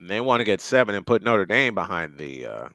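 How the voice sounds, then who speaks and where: A man doing live play-by-play commentary on a football game, surging in pitch and volume on big plays and often repeating phrases. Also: big pitch swings, a little fast, vocal fry, bright, forceful tone, no room sound. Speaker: play-by-play announcer.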